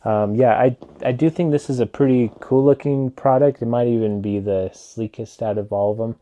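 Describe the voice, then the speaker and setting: A man casually reviewing a wireless mic in a relaxed, rambling way, with lots of "um" and "like", speaking into a clip-on mic plugged into his phone. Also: normal speed, lo-fi sound. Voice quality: low voice. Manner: rambling, filler-heavy, relaxed. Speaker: tech reviewer.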